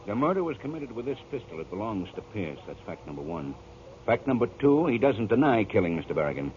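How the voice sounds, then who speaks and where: A composed police inspector in a 1940s radio noir. His deep voice moves expressively as he probes with polite skepticism. The old broadcast is dull, with some noise. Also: very animated, fast. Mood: firm, skeptical. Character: composed, probing male police inspector, authoritative.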